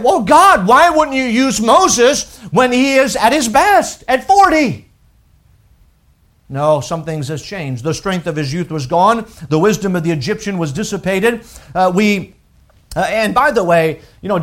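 A man around forty, raised in France with a French accent, preaching a sermon with lively delivery and stammered restarts. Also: high-pitched.

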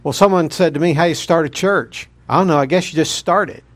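A man's voice: on the high side, quick pace. A man, a guest preacher opening his sermon in a jokey, folksy manner.

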